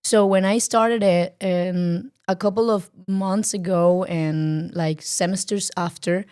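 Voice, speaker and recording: Soft-spoken Colombian woman, halting. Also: fairly low pitch, fairly even intonation, clear, resonant tone. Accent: Colombian Spanish. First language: Spanish. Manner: halting, searching for words, many fillers. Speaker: podcast guest, filmmaker. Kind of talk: casual interview chat.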